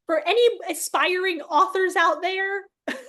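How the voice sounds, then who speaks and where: A woman's voice: extremely high pitch, measured pace, bright, forceful tone. A woman hosting an interview as a casual chat, informal and emphatic, repeating phrases for emphasis. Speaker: interview host.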